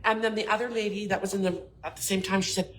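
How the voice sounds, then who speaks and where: A middle-aged woman with a big voice, a bra fitter chatting casually and telling lively stories, acting out what her customers say. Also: mid-range voice, fast talker, a little hiss or hum, poor audio. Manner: lively storyteller, acts out customers' lines. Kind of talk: casual shop talk with stories.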